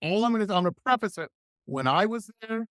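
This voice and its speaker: A middle-aged man hosting a radio interview show, chatting with an enthusiastic, animated manner. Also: high voice, fast, clean tone, dry recording, clean studio sound. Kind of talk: casual interview chat.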